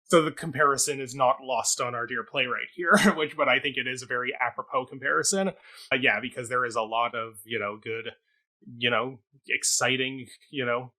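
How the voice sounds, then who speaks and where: A man's voice: medium pitch, steady tone, normal speed, pushed and bright. A man co-hosting a theatre review talk, speaking conversationally in an articulate, wordy way with careful hedging.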